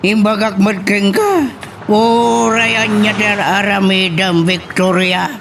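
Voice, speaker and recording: Man acting a slow, high-voiced Ilocano father. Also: creaky, noisy background, heavily acted. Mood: grave, concerned. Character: father advising his grown child in a love drama. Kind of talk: amateur Ilocano radio-style drama acting.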